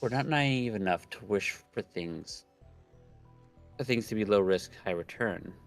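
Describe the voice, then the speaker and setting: A man's voice: fairly low pitch, lively intonation, unhurried, faint music behind. A man voicing a pompous, scheming young self-styled mad scientist from a visual novel, declaiming grandly. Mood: gleeful villainy. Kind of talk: reading visual novel dialogue aloud.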